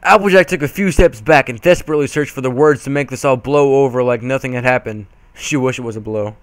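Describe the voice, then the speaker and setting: A man's voice: mid-range voice, fast talker, clean tone, no echo. A man doing an amateur dramatic reading of a story, reluctant and stumbling over words now and then. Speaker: reader of fan fiction.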